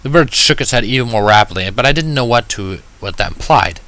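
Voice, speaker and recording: A man reading a first-person story aloud with an expressive, wry, self-deprecating delivery. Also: medium pitch, brisk, no echo. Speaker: fan fiction reader.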